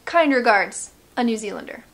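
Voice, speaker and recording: An American woman, around her thirties, giving casual reaction commentary as a vlog host with playful sarcasm and animated asides. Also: on the high side, normal speed. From United States.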